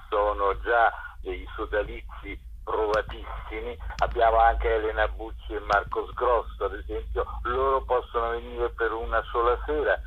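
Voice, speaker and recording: A man's voice: on the low side, slightly rough. A man giving spontaneous interview answers as a theatre curator, hesitant and often searching for words, over a telephone. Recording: dull lo-fi telephone line.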